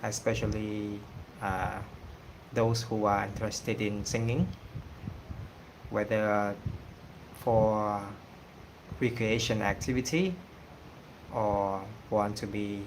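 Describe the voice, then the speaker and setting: A man from Cambodia with a Cambodian accent, whose first language is Khmer, talking casually on a livestream and pausing often with fillers. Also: fairly low pitch, level tone, background noise. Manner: hesitant, many pauses and fillers. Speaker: singing channel host.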